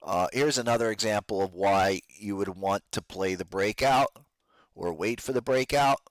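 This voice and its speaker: A man's voice: fairly low pitch, normal speed, dry recording. A man hosting a podcast with casual live stock market commentary, talking in informal slang, his voice strained and giving out. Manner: informal trader slang, addresses listeners as guys. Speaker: host of a trading podcast.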